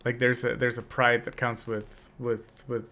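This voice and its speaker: A man's voice: mid-range voice, little pitch movement, a little slow, bright, forceful tone. A young adult man co-hosting a podcast, talking in a casual, reflective way with many restarts. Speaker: podcast co-host. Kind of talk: casual chat.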